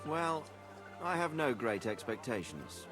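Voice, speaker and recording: Middle-aged medieval lord, fatherly, formal, measured, expressive pitch, game dialogue with music behind. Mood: measured, diplomatic. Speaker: video game character. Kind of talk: cinematic video game dialogue.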